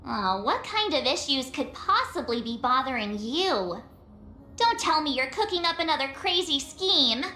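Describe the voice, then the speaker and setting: High, bright, perky girl. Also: sing-song, normal speed, roomy sound, soft background music, full character voice. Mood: curious, teasing. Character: perky, easily offended teenage girl adventurer. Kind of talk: anime-style game voice acting.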